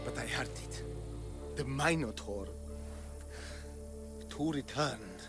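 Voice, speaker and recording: An actor playing a guilt-ridden, shaken old caretaker who speaks slowly and haltingly after torture. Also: male voice, medium pitch, expressive, music in the background. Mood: grieving. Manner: slow, halting, mournful. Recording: orchestral game score underneath.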